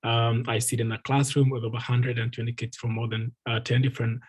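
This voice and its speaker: A young man in his twenties, from Ethiopia, telling his own story to an online meeting. His voice is a little husky and stays within a narrow pitch range, earnest and warm, full of ums and uhs. It sounds like a video call. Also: level tone, fast. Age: 20s. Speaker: guest speaker, refugee advocate.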